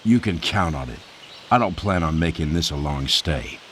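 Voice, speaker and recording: A wisecracking American captain in a game cutscene. His voice is very deep, with an American accent and a dry, cocky edge. Faint noise runs behind it. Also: lively intonation, normal speed, fairly bright tone. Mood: determined. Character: cocky, sardonic American air force captain. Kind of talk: video game cutscene acting.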